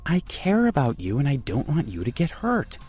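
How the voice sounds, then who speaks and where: Man doing a worried young sister voice, pleading, pitched way up, breathy. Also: wildly swooping pitch, normal speed, a little mellow, faint music behind, exaggerated voice. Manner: pleading, anxious. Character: worried protective young woman, his sister.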